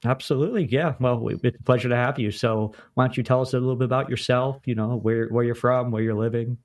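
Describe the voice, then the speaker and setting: A man from New England hosting an interview podcast, asking questions and giving quick affirmations in a conversational way. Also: mid-range voice, steady tone, no echo, clean studio sound. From New England, USA. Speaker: podcast host. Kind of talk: casual interview.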